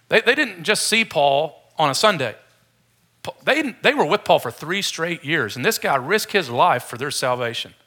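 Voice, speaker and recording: Male preacher, slightly high pitch, forceful bright tone, informal and jokey sermon delivery. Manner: informal, joking with the congregation. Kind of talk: conversational sermon.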